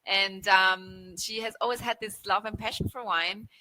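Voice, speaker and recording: A woman from northern Italy whose first language is German talks over a video call with a bright, clear tone. She chats at an easy middle pitch and keeps checking in with "right?" as she goes. She often restarts her phrases mid-thought. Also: fairly even intonation, a little slow, poor audio. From Alto Adige, northern Italy. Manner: chatty, frequent "right?" tags and restarts. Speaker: winery guest. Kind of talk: casual video-call interview. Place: indoors with a mountain backdrop.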